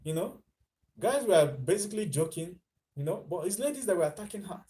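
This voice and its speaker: Chatty Nigerian man with a mellow voice. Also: high-pitched, animated, brisk. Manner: chatty, mixes in Pidgin, laughs at his own lines. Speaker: vlogger. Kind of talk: casual commentary vlog.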